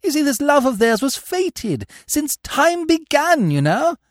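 Guy doing a young posh english chap for an audiobook, high chirpy voice, blithe and slangy. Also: sing-song, unhurried, no room sound, studio quality, heavily acted. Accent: upper-class English. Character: breezy, foppish young English gentleman, cheerfully slangy.

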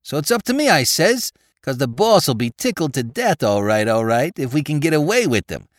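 A man voicing an excitable, slow-witted male crook from the Bowery who talks in tough street slang. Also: fairly high pitch, no room sound, clean studio sound, voice pushed up. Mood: wheedling.

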